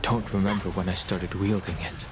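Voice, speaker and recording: A man's voice: sits low, flat delivery, normal speed, lightly acted. An actor playing a stoic, reserved spearman in exile in a video game, terse and subdued. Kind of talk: scripted video game dialogue. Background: faint game music.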